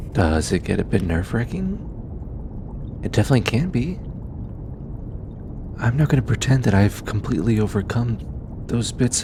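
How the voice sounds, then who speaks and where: A man playing a gentle, earnest alien scientist in an intimate audio drama, bookish and easily flustered, with a hesitant, softly stammering delivery. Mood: sincere, reassuring. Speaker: voice actor. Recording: steady low rumbling background noise.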